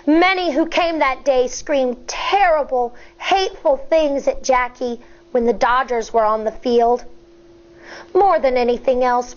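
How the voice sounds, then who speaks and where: A woman teacher reading a picture book aloud to children, expressive and deliberate, stepping aside at times to explain. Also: sits high. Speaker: elementary school teacher. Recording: dull, lo-fi sound.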